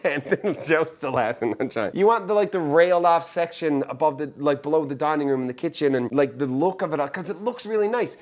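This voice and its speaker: Animated man telling casual stories. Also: fairly high pitch. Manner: joking, self-interrupting, conversational. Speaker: real estate agent and show host. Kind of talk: casual storytelling.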